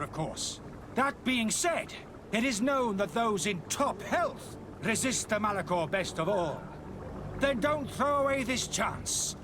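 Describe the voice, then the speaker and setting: An actor playing a smooth-talking street charlatan in a video game, grandiose and persuasive in a theatrical sales pitch. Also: male voice, noisy background, full character voice. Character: smooth-talking male street charlatan hawking a miracle potion.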